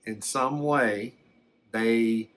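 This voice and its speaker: A man playing a gentle, rambling older man who recalls his alien abduction in a hesitant, folksy, reflective way for an ASMR story. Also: mid-range voice, extremely slow. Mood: reflective, halting. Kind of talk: first-person ASMR storytelling.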